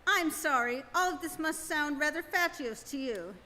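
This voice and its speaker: A man's voice: very high-pitched, expressive, moderate pace, bright, forceful tone, full character voice. An actor playing a bewildered, hapless Earthman, plaintive and apologetic, in a live radio play. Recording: noisy reverberant hall live stream.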